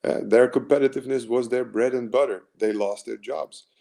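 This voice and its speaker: A man's voice: mid-range voice, steady tone, moderate pace, vocal fry, mellow. A man in his forties with an American accent, giving an earnest, rambling motivational talk as a mental performance coach, full of fillers and restarts.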